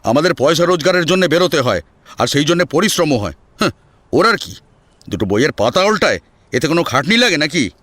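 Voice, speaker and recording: A man's voice: on the high side, big pitch swings, brisk, a bit bright, no echo, voice pushed up. A man playing an angry, bullying elder brother in an audio family drama, scolding and accusing in curt bursts. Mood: irritated, scornful. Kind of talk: Bengali audio story drama.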